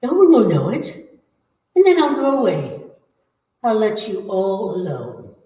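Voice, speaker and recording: A woman performs a sinister female spirit in a radio horror drama, coaxing and threatening with theatrical menace. The delivery is slow and widely swooping, heard from a distance in an echoey room. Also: medium pitch, huge pitch swings, mellow. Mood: urgent, goading. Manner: slow, commanding, taunting, theatrical. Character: menacing, coaxing female ghost hungry for blood and life. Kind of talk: old-time radio horror acting. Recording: echoey room, far from the mic.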